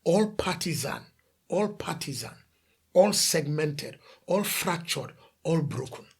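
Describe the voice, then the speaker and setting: Male pastor, from The Gambia, gravelly, raspy, emphatic sermon, rhetorical questions. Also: fairly high pitch, expressive, takes it slowly. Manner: emphatic preaching, rhetorical questions, lists of repeated phrases.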